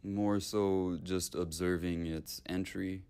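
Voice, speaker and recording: Man, guided meditation, soothing, creaky low end, very slow unhurried phrasing. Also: steady tone, warm tone.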